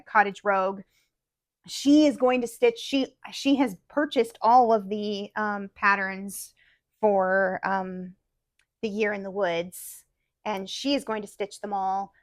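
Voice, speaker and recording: A woman's voice: mid-range voice, dry recording. A woman talking casually and at length, her thoughts looping through fillers and self-corrections. Her voice is slightly worn, as if she is losing it. The sound is dry and close, with no room around it. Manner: rambling, many fillers and restarts. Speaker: podcast co-host. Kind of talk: casual chat about cross-stitch projects.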